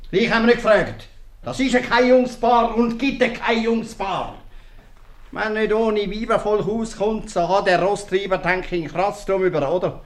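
A gruff and stubborn innkeeper father, acted by a man with a Swiss accent, blustering in a fairly high voice. He is curt, bossy and scornful, in an old radio comedy recorded in a roomy space. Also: normal speed, close to an everyday voice. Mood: scornful, domineering. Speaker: actor. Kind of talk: 1950s radio comedy acting.

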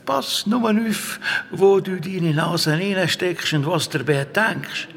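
Gravelly, secretive Swiss monk. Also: male voice, high-pitched, animated, slow pace, a bit bright, full character voice. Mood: secretive, teasing. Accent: Swiss German. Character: monk herbalist, secretive, teasing and patronising older man. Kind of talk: children's audio drama acting.

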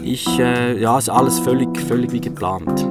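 A man's voice: mid-range voice, normal speed. A man giving an informal personal account in a conversational way. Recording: prominent plucked guitar music bed. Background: plucked guitar music.

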